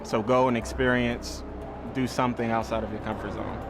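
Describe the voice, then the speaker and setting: A young man giving a reflective testimonial about his study abroad program, often pausing and restarting while he searches for words. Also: mid-range voice, level tone. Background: music.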